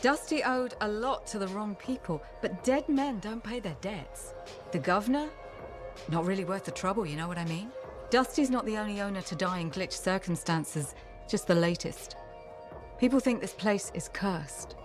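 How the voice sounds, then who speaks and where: A female actor plays a shrewd bar owner and dealer in a video game. Her mid-pitched voice comes in casual, clipped phrases with a worldly edge. Music plays clearly behind her. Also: moderate pace, natural voice. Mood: matter-of-fact, shrewd. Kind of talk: video game dialogue acting.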